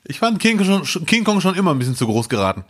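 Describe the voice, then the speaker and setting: A man co-hosting a podcast, chatting casually with dry, teasing remarks and lots of quick agreements. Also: sits high, big pitch swings, a bit bright. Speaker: podcast co-host. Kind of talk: casual chat.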